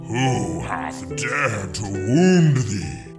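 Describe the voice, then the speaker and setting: An actor playing a repentant old giant in an audio drama, speaking in slow, old-fashioned fairy-tale phrases. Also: male voice, sing-song, heavily acted. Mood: wrathful. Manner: slow, booming, archaic fairy-tale speech. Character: repentant old giant, gruff yet tender. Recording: roomy, distant voice over music.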